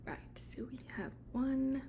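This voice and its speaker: A woman speaking in a soft, breathy whisper, playing a shop clerk in an unscripted, gently hesitant ASMR roleplay. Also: high voice, moderate pace, slight background noise.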